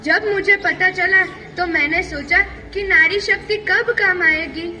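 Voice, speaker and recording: A woman's voice: very high-pitched, a little slow, sharp tone, recorded from a distance, a little hiss or hum, exaggerated voice. A woman playing a proud, resolute widowed Maratha warrior queen in a theatrical, declamatory, earnest monologue. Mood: proud, defiant. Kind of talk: theatrical one-person stage monologue.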